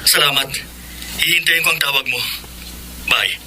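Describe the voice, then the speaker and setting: A man's voice: a little high, moderate pace, background noise, close to an everyday voice. A man playing a worried boyfriend urgently asking for help finding his missing girlfriend, in a classic radio drama. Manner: urgent, pleading.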